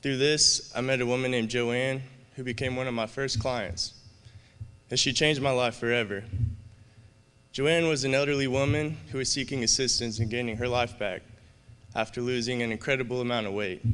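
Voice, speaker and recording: An earnest man in his thirties delivers a prepared speech from a stage podium. His voice is smooth and resonant, and it carries a long reverb through a large hall. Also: mid-range voice, fairly bright tone. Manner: earnest, measured and heartfelt. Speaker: student award finalist. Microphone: podium microphone.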